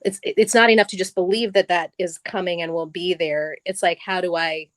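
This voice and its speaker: A woman's voice: fairly low pitch, steady tone, normal speed, no echo. A middle-aged woman, a guest on stage at a live event, talking casually and animatedly, laughing often and restarting her sentences.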